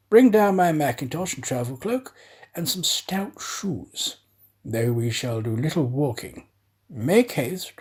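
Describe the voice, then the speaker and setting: A man voicing a composed, exacting gentleman master who gives crisp orders in a storybook reading. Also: medium pitch.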